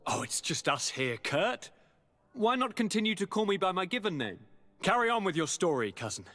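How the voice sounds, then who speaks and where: An actor playing an eager young aristocratic governor, effusive and fond, with courtly, theatrical delivery in a video game cutscene. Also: male voice, high voice, sing-song, moderate pace, bright, forceful tone, soft background music, full character voice. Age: young adult.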